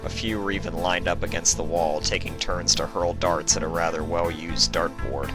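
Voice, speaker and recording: A man's voice: low-pitched, steady tone, moderate pace. A man reading a story aloud in a scripted narration style. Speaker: fan fiction narrator. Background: music.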